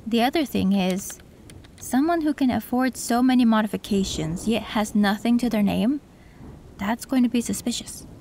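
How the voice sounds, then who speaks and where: A woman voicing a female cyberpunk tech who works on an android, calm and matter-of-fact, in an audio roleplay. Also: a little high, moderate pace, creaky, a little hiss or hum.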